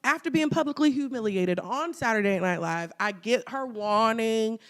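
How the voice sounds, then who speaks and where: A woman's voice: a little high, animated, normal speed. A woman co-hosting a podcast, chatting about pop culture in a slangy, playful way and laughing easily. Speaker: podcast co-host. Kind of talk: casual pop culture chat.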